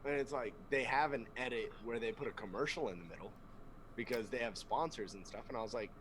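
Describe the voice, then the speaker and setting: A man's voice: medium pitch, fast talker, a little hiss or hum. A man co-hosting a podcast, chatting casually, laughing often and slipping into quoted voices as he tells stories. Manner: laughing, animated storyteller, slips into quoted voices. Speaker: podcast co-host. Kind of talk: casual chat.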